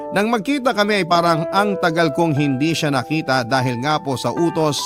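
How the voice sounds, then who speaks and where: A man playing a young fast-food worker, telling his own story earnestly and in measured fashion as scripted radio drama narration. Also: fairly high pitch, close to an everyday voice. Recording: music bed behind the voice.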